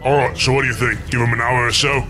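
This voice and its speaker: A man's voice: medium pitch, level tone, quick pace, music in the background, lightly acted. A man voicing a boastful pirate ship captain with a brash, breezy manner. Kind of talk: fan-made animated short film.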